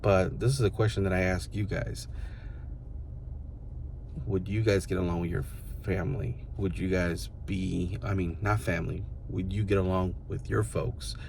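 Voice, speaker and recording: A man's voice: low-pitched, flat delivery, moderate pace, gravelly, a little mellow, slight background noise. A man in his mid-thirties talking to his viewers in a loose, rambling, reflective way, full of fillers. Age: 30s. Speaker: vlogger. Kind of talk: casual reflective monologue to the camera.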